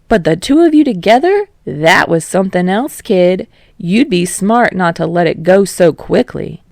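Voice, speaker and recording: A woman's voice: fairly low pitch, expressive, unhurried, creaky, dry recording. A woman voicing a brash, boisterous male rock star in an audiobook reading. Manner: boisterous, shouting, teasing. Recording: dry close recording.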